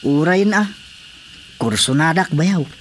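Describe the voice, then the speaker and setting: An actor playing an eager, hesitant young brother-in-law in an Ilocano radio comedy drama, with an Ilocano accent. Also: male voice, on the high side, lively intonation, slow. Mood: smitten, pleading. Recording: faint background noise with crickets.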